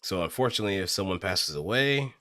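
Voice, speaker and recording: A man chatting casually on a livestream, musing in a halting way as he thinks aloud. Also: low voice, lively intonation, sharp tone, dry recording, studio quality. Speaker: streamer practicing voice acting.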